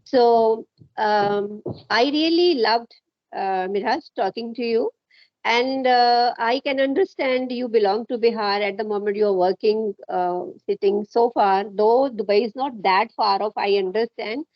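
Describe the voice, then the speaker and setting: A middle-aged woman with an Indian accent chats in an encouraging, unhurried, explanatory way over a lo-fi internet call. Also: on the high side, dry recording. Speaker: English-speaking guest, educator. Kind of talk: casual conversational English practice chat. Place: at home indoors, on a remote call. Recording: dull lo-fi internet call audio.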